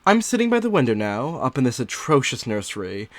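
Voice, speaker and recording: A man playing an anxious, confined young wife from the nineteenth century, reading her diary as a dramatic audiobook with fretful, drawn-out, theatrical delivery. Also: medium pitch, sing-song, no echo, natural voice. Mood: fretful, weary.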